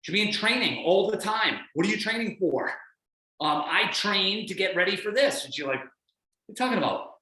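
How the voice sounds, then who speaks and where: A man giving a blunt, energetic motivational coaching talk on a video call, with a non-American accent, often acting out what other people think. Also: sits high, moderate pace, echoey room, rough recording. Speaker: fitness coach guest speaker.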